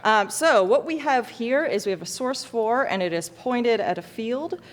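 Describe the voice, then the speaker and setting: A woman's voice: on the high side, lively intonation, normal speed. A woman teaching a hands-on demonstration to students in an informal, self-correcting, good-humored way, as an instructor. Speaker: lighting design instructor. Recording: echoey reverberant hall, background noise.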